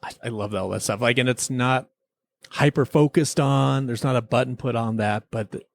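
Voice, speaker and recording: A man's voice: medium pitch, normal speed, vocal fry, no echo. A man chatting casually and enthusiastically as a podcast host, rambling like a devoted fan through an episode review.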